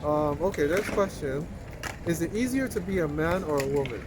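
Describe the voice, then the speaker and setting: A man's voice: a little high, expressive, moderate pace. A man doing a casual interview in a city park, asking questions in an agreeable, conversational way and following up on answers. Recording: outdoor recording with clear traffic noise.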